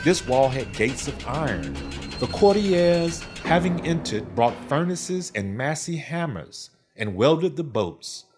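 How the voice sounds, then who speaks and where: Animated male gothic horror narrator over music. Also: mid-range voice. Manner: theatrical, literary storytelling delivery. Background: background music.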